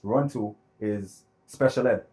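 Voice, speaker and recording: A man's voice: fairly low pitch, darkish tone. Reflective man. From born in Florida, grew up in Jamaica. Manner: reflective, earnest, builds points slowly. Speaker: podcast guest, author. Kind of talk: personal storytelling in conversation.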